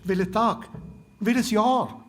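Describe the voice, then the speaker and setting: An actor playing an excitable, eccentric inventor in his twenties with a Swiss German accent in a children's radio play. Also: high-pitched, takes it slowly. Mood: curious, formal. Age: 20s. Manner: exclamatory, with a repeated quirky chuckle. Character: eccentric, excitable young male inventor, newly a grandfather. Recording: music behind the voice. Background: music.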